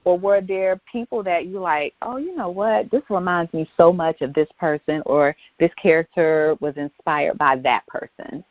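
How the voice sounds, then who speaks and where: Expressive woman host, muffled dry sound. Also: lowish voice, normal speed, few pauses, no echo. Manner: expressive, reacts with exclamations. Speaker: talk show host. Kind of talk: casual interview chat.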